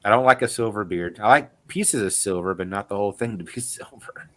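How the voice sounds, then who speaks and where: A man's voice: fairly low pitch, fairly quick, fairly bright tone. A man in his forties hosting a casual livestream chat, telling rambling, self-deprecating stories over a call mic. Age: 40s. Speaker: host.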